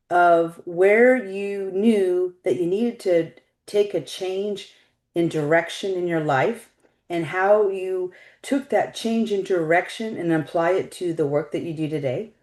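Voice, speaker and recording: A woman's voice: lowish voice, slow pace, a little mellow. A woman hosting an interview show, speaking as the presenter in a roomy, echoing recording. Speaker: show host.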